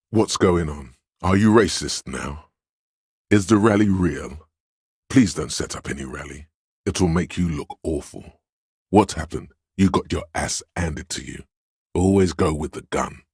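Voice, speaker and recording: Extremely deep, commanding inner voice. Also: male voice, husky, no echo, clean studio sound, exaggerated voice. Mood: grave, measured. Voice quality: very deep, resonant bass. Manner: imperious, measured, scolding and goading. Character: commanding, domineering inner voice of authority in a cop's mind. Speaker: video game voice actor. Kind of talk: dramatic game narration, inner-voice lines.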